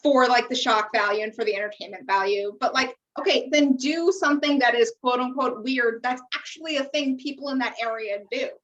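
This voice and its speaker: An American woman with a fairly high voice chats as a podcast co-host. She is candid and opinionated and swears casually. The sound is somewhat muffled, as if she sits away from the microphone. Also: fairly quick, few pauses, fairly bright tone, distant mic. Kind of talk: casual chat recapping a reality TV show.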